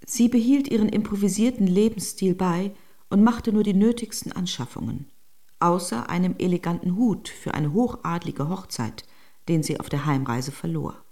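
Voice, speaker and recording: A daughter-in-law in radio drama, lowish female voice, composed, fondly telling family anecdotes. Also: lively intonation, normal speed, dark tone. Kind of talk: scripted radio drama monologue.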